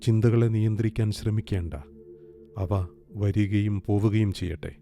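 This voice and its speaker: Guy doing guided meditation, low dark mellow voice, calm n gentle, music behind. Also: vocal fry. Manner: calm, gentle, with long pauses. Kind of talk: guided meditation, slow soothing instruction. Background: soft meditation music with singing bowl.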